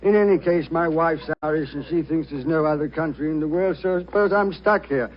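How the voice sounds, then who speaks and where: Man acting a tipsy brash English gent, high voice, disdainful, pushy, old radio drama, dull sound. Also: brisk, natural voice. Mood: sour, grumbling. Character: brash, boozy, grumbling English businessman. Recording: muffled vintage 1940s radio broadcast.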